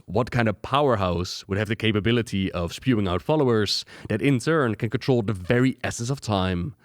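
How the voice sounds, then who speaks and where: A man's voice: fairly low pitch, no echo. A man hosting a gaming lore video, narrating a script with dramatic rhetorical questions into a close microphone.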